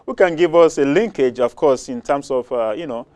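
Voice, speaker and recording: A man's voice: medium pitch, normal speed, a little mellow, clean tone. Hesitant man hosting a tv talk show. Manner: hesitant, many "uh" and "you know" fillers. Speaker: host. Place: TV studio.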